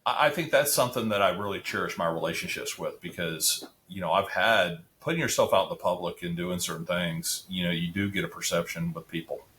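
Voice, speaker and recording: A middle-aged man with a deep voice, chatting casually as a podcast guest, rambling with restarts and filler words. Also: a little fast, hardly any pauses, bright, forceful tone, slight background noise. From Central Florida area resident. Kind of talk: casual interview chat.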